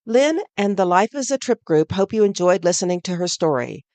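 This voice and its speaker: A woman hosting a storytelling group, reading a clear, steady scripted outro. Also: on the low side, brisk, no echo.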